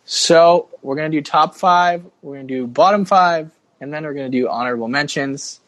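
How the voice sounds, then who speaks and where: A man's voice: on the high side, moderate pace, fairly bright tone, no room sound, low-quality recording. A man hosting a casual sports podcast with jokey, slangy banter. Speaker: podcast host.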